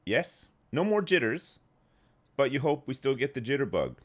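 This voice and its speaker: A man's voice: mid-range voice, big pitch swings, moderate pace. A man in his thirties streaming a game live, phlegmy and rambling as he answers chat. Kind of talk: casual live gameplay chat.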